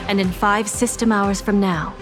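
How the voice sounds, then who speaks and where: A woman playing a poised, authoritative corporate insider, giving articulate, measured exposition in a video game. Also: mid-range voice, steady tone, close to an everyday voice. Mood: serious. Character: composed, authoritative female corporate insider briefing allies. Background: game music.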